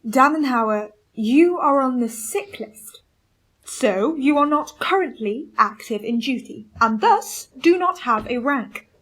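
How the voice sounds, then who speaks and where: A woman's voice: sits high, lively intonation, slow, fairly bright tone, full character voice, rough recording. A woman playing a firm, authoritative expedition captain in a radio play, both giving orders and reading out a log. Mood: stern, commanding. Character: commanding expedition ship captain keeping a log.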